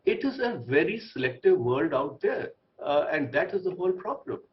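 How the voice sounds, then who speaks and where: A man with an Indian accent giving panel commentary as a former diplomat, measured and pointed, with rhetorical lists. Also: on the high side, sing-song, lo-fi sound. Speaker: former diplomat, debate guest.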